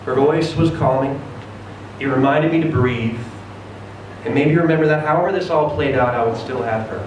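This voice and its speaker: A man reads a short story aloud with theatrical expression. His mid-pitched voice comes from some distance in a heavily reverberant room, with clear background noise. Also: normal speed. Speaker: reader of a short story at a live event. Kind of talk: dramatic first-person story reading. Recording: very echoey room, distant mic, noisy.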